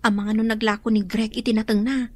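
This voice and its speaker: A woman's voice: on the high side, level tone, fast talker, no room sound, natural voice. An actress playing a lazy young female student who complains and avoids farm work in a radio drama. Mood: whiny.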